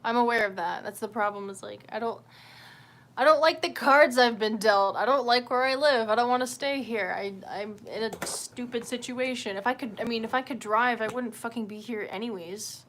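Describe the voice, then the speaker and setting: A woman's voice: a little high, moderate pace, fairly bright tone. A woman chatting casually on a livestream, rambling in a candid, sweary and self-deprecating way. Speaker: livestream host.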